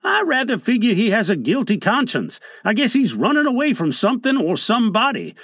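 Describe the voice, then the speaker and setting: A man voicing a calm, fair-minded old prospector with a folksy, reassuring manner in an audiobook. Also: very high voice, a little fast, dry recording, studio quality, pitched above the performer's own voice, full character voice. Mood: soothing. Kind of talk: audiobook character voice.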